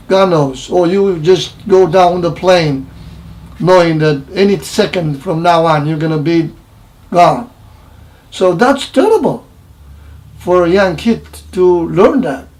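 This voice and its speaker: A man in his seventies from Romania with a Romanian accent, recounting his wartime childhood in an interview in halting, repetitive English. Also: high voice, a little mellow, low-quality recording. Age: 70s. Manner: halting, repeats phrases, broken English grammar. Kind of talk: personal wartime recollection interview.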